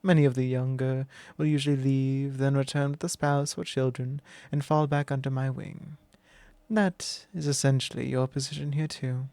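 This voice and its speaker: A man voicing a possessive, commanding male vampire lord in an ASMR roleplay, speaking slow, low and intimate, often in a whisper. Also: creaky, darkish tone, no room sound.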